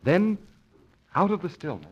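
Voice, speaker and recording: A dull vintage radio recording of a solemn mystery announcer. His voice is fairly high with sweeping, dramatic inflection. He narrates in a measured, portentous old-time style. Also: sing-song, very noisy. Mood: hushed suspense.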